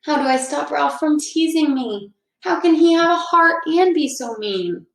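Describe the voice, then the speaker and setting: Sensitive young schoolgirl for a storybook, played by a woman, high and earnest, in a roomy space. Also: lively intonation, measured pace. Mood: worried. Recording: roomy, echoing indoor sound.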